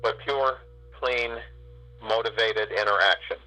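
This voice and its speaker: A man guiding a spiritual meditation, speaking in slow, drawn-out phrases with long pauses. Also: lowish voice, steady tone, pushed and bright, faint music behind, poor audio. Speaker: meditation guide.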